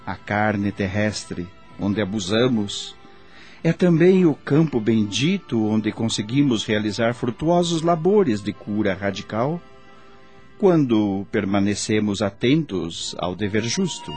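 An actor playing a gentle spirit healer in a Brazilian radio drama, speaking in a solemn, measured, preaching manner with a Brazilian accent. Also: male voice, fairly low pitch, lively intonation, faint music behind. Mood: earnest teaching. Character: gentle male spirit healer counselling a patient.

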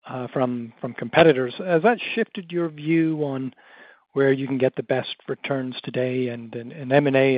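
A man's voice: medium pitch, dry recording. A man asking questions as a financial analyst dialed in to an earnings call. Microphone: telephone dial-in. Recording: tinny, narrow, dry phone line.

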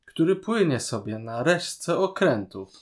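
A man's voice: on the high side, very animated, measured pace. A man, co-hosting a podcast and discussing a series casually, in an opinionated, questioning manner. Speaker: podcast co-host.

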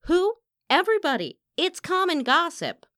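A woman's voice: medium pitch, very animated, normal speed, bright, forceful tone, no room sound, studio quality. A woman voicing a proud, scornful Italian opera diva in an audiobook, accusing and haughty. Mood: indignant. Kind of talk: audiobook character acting.

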